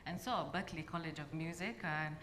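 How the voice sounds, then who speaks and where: A woman's voice: sits low, a bit bright. Kenyan woman laughing through anecdotes. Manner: laughing, quick asides. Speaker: guest. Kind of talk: casual storytelling on a talk show. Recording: roomy hall with long echo, faint noise.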